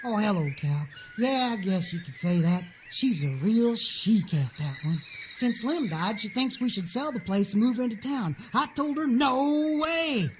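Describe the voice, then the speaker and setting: An actor playing a hapless, lovesick, henpecked rural grocer with a Southern US accent in a campy radio parody. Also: male voice, sits high, lively intonation, a little slow, a little hiss or hum. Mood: pleading, folksy. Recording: dull lo-fi, music underneath.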